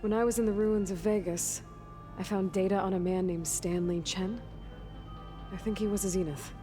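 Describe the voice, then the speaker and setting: An actress playing a young video game heroine, direct, practical and skeptical, with an understated, plain-spoken delivery. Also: female voice, medium pitch, steady tone, moderate pace, mellow, faint music behind, lightly acted. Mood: businesslike. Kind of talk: video game character dialogue.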